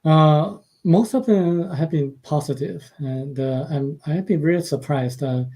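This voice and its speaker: Brief: Chinese-accented man, radio guest, dark mellow tone, slightly high, halting with fillers. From China. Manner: hesitant, many uh fillers, soft-spoken. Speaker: guest author and translator. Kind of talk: radio interview.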